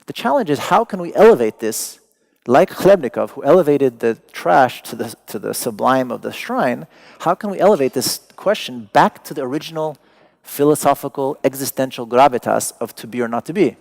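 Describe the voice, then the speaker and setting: Casting note: gravelly-voiced man, native Persian speaker, lecturing with dry humor. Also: medium pitch, lively intonation, a little fast, a little mellow. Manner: wry, digressive, joking asides. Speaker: artist giving a talk. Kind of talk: lecture to an audience.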